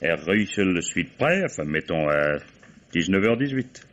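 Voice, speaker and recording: An actor playing a shrewd, mock-courteous, methodical German police commissioner interrogating suspects in a vintage French radio mystery. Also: male voice, low-pitched, big pitch swings, a little slow, fairly bright tone, heavily acted. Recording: dull old radio-play recording.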